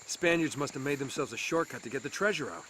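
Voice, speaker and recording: An actor playing a young, easygoing treasure hunter in an adventure game, casual and teasing. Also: male voice, medium pitch, lively intonation, fast, a bit bright, slight background noise, natural voice. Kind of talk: cinematic adventure game dialogue. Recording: game audio under music.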